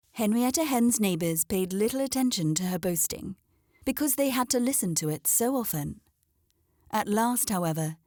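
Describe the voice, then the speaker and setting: A woman reading a scripted children's story aloud as an expressive narrator. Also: medium pitch, no room sound, studio quality.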